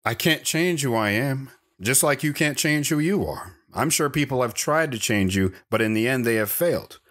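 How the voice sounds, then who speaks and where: A man's voice: mid-range voice, animated, fairly bright tone, close to an everyday voice. An actor playing a world-weary, polite wandering man, cryptic and reflective, in an audio drama. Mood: wistful. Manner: measured, reflective, reserved.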